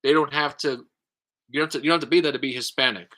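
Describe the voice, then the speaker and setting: A man's voice: mid-range voice, a bit bright. A man talking casually in a response vlog, thinking aloud with many fillers and restarts. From United States. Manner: halting, lots of fillers and restarts. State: tired. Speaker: YouTube creator.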